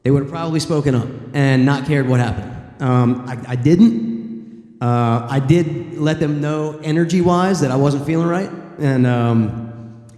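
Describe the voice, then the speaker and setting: A male voice actor on a convention panel, telling a story in a halting, hesitant way. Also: mid-range voice, mellow. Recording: echoey convention hall.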